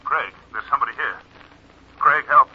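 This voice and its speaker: A man's voice: medium pitch, normal speed, breathy edge, narrow, tinny audio. An actor playing an irritable, agitated man through a telephone filter in an old radio drama. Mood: terrified. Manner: curt, agitated. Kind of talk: 1950s radio drama acting. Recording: thin telephone sound, old radio recording.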